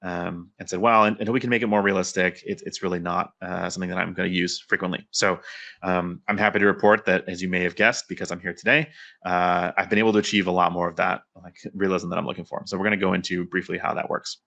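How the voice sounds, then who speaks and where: Fast-talking low-voiced man presenting. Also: flat delivery, vocal fry, bright, forceful tone, lo-fi sound. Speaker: conference speaker, developer and pilot. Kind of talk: technical conference presentation, conversational explaining.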